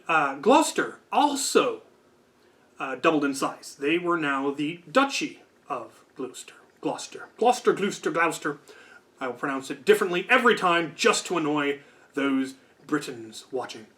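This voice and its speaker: A man's voice: fairly high pitch, pushed and bright. A man hosting a storytelling channel, talking in a casual, unscripted, discursive way with wry asides.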